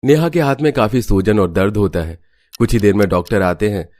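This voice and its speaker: A man's voice: fairly low pitch, animated, fairly quick, a little mellow, faint music behind. A man reading scripted storytelling narration for a cartoon family tale.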